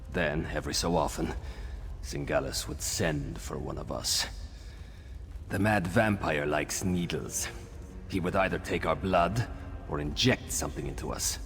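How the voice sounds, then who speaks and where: An actor playing a battered, grim werewolf who bargains for his pack in a video game, speaking deliberately in a deep voice. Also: vocal fry, fairly bright tone, full character voice. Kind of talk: video game character dialogue. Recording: long cavernous reverb, faint music behind.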